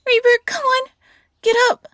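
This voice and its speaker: A woman voicing an earnest, gentle but defiant young fox-hybrid companion in an audio roleplay, speaking pleadingly and hesitantly. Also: very high voice, steady tone, normal speed, no room sound, clean studio sound. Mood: panicked.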